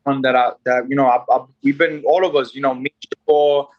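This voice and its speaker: A young man from New York chatting as a guest on a boxing podcast. He rambles with plenty of "you know" and false starts, at a mid-range pitch. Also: fairly even intonation, fairly quick, dull audio. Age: 20s. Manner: loose and rambling, many fillers and restarts. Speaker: boxer, guest. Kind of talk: casual interview chat.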